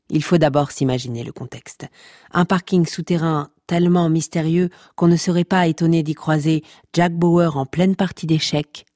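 Dark soft timbre, deadpan mock-solemn storyteller, conspiratorial, dry studio podcast fiction. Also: male voice, fairly high pitch, vocal fry, no echo. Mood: suspenseful. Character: mock-solemn tongue-in-cheek noir narrator. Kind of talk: scripted fiction narration.

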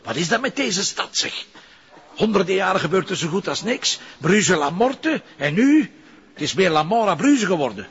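A man playing a weary, sardonic police commissioner with a Flemish accent, gruff and informal in a naturalistic crime drama. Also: high voice, very animated, normal speed, fairly bright tone, full character voice. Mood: dry, sardonic. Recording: dull, noisy location sound from television.

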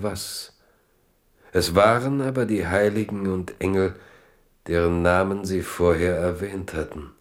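A man narrating a literary radio drama in a measured, solemn way. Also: low voice.

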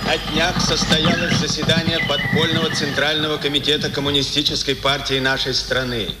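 A man's voice: a little high, flat delivery, fairly quick, heavy background noise, close to an everyday voice. An actor playing an earnest male resistance orator declaiming a proclamation in a 1950s radio drama. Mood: resolute. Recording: echoey 1950s radio studio recording.

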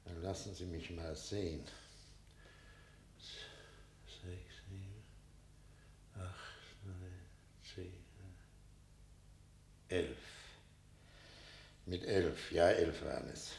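Very deep-voiced, quiet prison warder. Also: male voice, slow, vocal fry, pauses often, exaggerated voice. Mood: mild, deliberate. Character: simple-seeming, quietly knowing prison warder, mild and grave. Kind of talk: German radio play acting. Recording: echoey room, rumbling noise.

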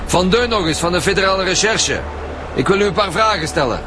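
Brusque Flemish police inspector. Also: male voice, high voice, animated, a little fast, noisy background. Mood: impatient. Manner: brusque and impatient. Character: gruff male police chief inspector, impatient. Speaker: detective lead. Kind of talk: radio drama acting.

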